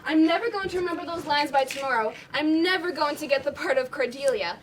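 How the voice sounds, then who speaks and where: Casting: young woman playing discouraged, defiant drama student, high voice, amateur stage play, noisy recording. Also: animated, fast. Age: teenager or young adult student performer.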